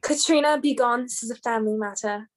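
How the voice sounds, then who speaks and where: Woman acting a curt, high-voiced household lady. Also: brisk, lightly acted. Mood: curt, dismissive. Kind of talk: amateur radio play acting.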